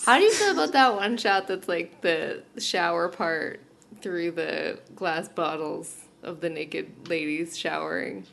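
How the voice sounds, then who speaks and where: A woman's voice: fairly low pitch, expressive, measured pace, gravelly, fairly bright tone. A woman co-hosting a podcast, giving her opinions on a TV show in an analytical but casual way. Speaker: podcast co-host. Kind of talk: casual TV discussion.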